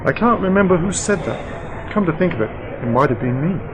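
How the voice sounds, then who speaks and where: A man's voice: animated, a little fast, natural voice. A man playing a wry, eccentric, skeptical uncle and scientist-adventurer in an amateur sci-fi radio play. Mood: wry musing. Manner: dry, eccentric, self-amused. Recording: noisy home-made radio play, vehicle-like rumble.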